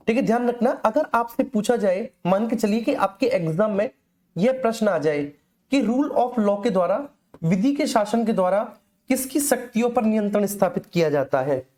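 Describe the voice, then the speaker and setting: A man's voice: sits high, warm tone. A man teaching an informal live online exam class, instructing repetitively and often checking that students follow.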